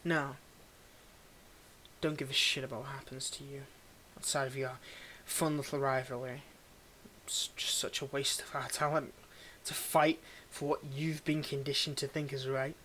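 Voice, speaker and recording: A man's voice: mid-range voice, level tone, moderate pace, slight background noise, lightly acted. A man playing a cold, domineering tsundere villain in an audio roleplay, condescending and theatrical, secretly fond of the listener he addresses intimately. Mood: hushed, condescending. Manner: condescending, theatrical, swinging between ranting and hushed murmurs.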